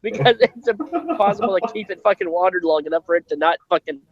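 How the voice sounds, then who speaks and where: A man's voice: sits high, fast talker, no room sound. A man hosting a casual online radio show, crude and joking, swearing often. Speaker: host. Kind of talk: casual call-in chat. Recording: dry, dull lo-fi sound.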